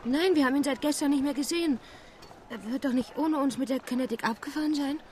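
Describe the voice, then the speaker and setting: An actress playing a gentle, devoted young lady traveller in a radio play, speaking softly and earnestly with good manners. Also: high voice, fast talker, a little hiss or hum, lightly acted. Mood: worried. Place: studio radio play set on a harbour quay.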